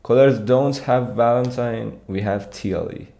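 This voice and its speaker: A man's voice: mid-range voice, a little slow, gravelly. A young man from Iran, a competitive programming streamer, casually answering live chat while reading it aloud. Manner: relaxed, reads and answers chat. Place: small dim room with pink light. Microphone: studio mic on arm.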